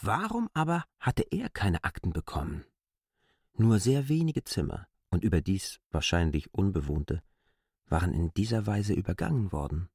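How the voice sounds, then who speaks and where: A man's voice: deep voice, very animated, normal speed, a little mellow, slightly rough, no room sound, studio quality. A man reading scripted literary narration for an audio drama.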